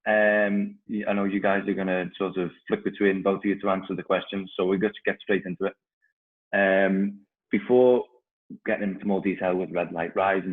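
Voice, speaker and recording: A man's voice: low voice, narrow, tinny audio. A male interview host putting questions informally and a little hesitantly.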